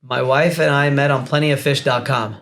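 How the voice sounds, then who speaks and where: A man in his forties from Miami, speaking at an ordinary pitch, sits in as a guest on a casual podcast. He talks bluntly, curses freely, and answers with quick agreement. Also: fairly even intonation, fairly bright tone. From Miami, United States. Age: 40s. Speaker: guest, business coach. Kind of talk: casual conversation.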